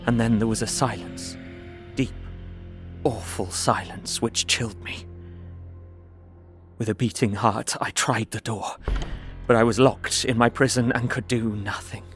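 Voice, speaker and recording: Casting: man to play a terrified, earnest young captive recounting horrors, mid-range voice, gothic audio drama, soft underscore. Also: mid-range voice, steady tone, unhurried, pauses often, soft background music, close to an everyday voice. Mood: hushed dread. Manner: earnest, intense, haunted. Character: frightened, despairing young Englishman imprisoned, writing his journal. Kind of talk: dramatic first-person audio drama narration.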